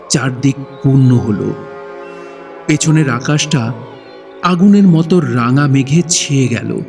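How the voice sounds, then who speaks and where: Slow, suspenseful male horror narrator. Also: a little high, recorded from a distance. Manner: suspenseful, deliberate phrasing. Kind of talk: dramatic horror story narration.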